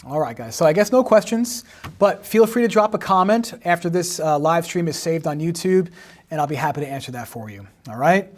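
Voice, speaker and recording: Male teacher, 40s, slightly hoarse, higher-pitched, patient livestream explainer. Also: expressive, fairly quick. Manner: explanatory, peppers sentences with "right?". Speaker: history teacher. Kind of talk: livestream exam review lesson. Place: home in the suburbs.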